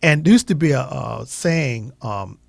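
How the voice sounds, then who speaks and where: A man's voice: mid-range voice, lively intonation, unhurried, creaky, a little mellow, no echo, studio quality. A man hosting a radio talk show in a casual, conversational style, affirming and often repeating short phrases.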